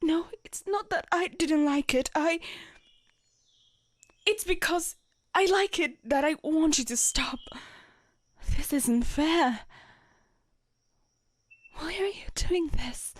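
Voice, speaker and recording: Casting: woman to play devoted female knight in a romance audio drama. Higher-pitched, unhurried delivery, hesitant and lovestruck. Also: no echo. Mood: flustered, hesitant. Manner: hesitant, tender, breathy whispers at times. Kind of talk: intimate romantic audio roleplay.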